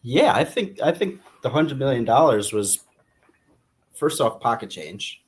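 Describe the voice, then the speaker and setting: A man speaks as a podcast co-host in a casual book discussion. His delivery is informal and a little halting, and he often circles back to rephrase what he just said. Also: mid-range voice, sing-song.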